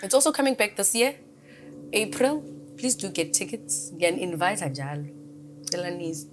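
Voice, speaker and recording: A woman's voice: mid-range voice, big pitch swings, moderate pace, noisy background. A young South African woman, a gospel singer, answers interview questions earnestly and switches between Zulu and English mid-sentence. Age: young adult. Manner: earnest, grateful, talks about faith.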